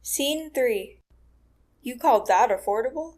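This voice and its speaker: A woman's voice: fairly high pitch, animated, slow pace, darkish tone. A woman reading scripted narration and scene titles for a radio play. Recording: noisy recording with clicks.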